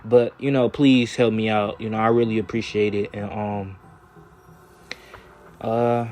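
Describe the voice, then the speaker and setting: A man's voice: on the low side, little pitch movement, measured pace. A fourteen-year-old boy rambling casually to his viewers in a storytime vlog, full of "you know" fillers and restarts, talking straight to the audience in informal slang. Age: teens, 14. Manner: addresses viewers directly, informal slangy speech. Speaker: vlogger. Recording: loud background noise and clicks.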